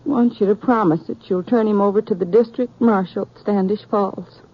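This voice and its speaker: An anxious frontier wife of a fugitive, played by an American actress in a 1950s radio western. She pleads haltingly in a medium-pitched voice on a muffled old recording. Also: lightly acted. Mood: anxious, pleading.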